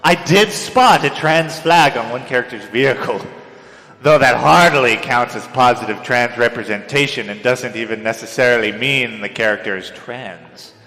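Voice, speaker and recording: A young man delivers a theatrical dramatic reading of a written piece in a melodramatic manner, swinging between hushed and loud. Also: mid-range voice, normal speed. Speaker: dramatic reader. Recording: echoey hall with long reverb, music behind. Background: music.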